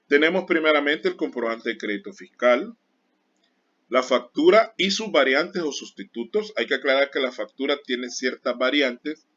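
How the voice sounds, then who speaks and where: A man's voice: medium pitch, a bit bright, husky. A man teaching an accounting class, explaining with everyday examples and stopping often to warn his students. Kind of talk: classroom lecture. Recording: dull lo-fi sound.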